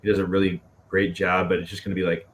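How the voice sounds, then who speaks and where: Low-voiced man from Dallas, halting and casual. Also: level tone. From Dallas, Texas. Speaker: interview guest, punk band musician. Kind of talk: casual chat. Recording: clearly noisy background.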